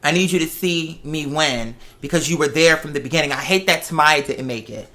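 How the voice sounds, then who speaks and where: Host just chatting, real bright forward voice, explains stuff with little examples and quotes. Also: medium pitch, brisk. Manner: animated storytelling, explains with examples and acted-out quotes. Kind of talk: casual personal chat.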